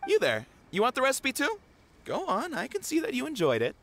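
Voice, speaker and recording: A man's voice: very high-pitched, huge pitch swings, a little fast, fairly bright tone, slight background noise, exaggerated voice. A man voicing a friendly, generous food vendor in an anime video game, speaking in a breezy, informal way. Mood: encouraging.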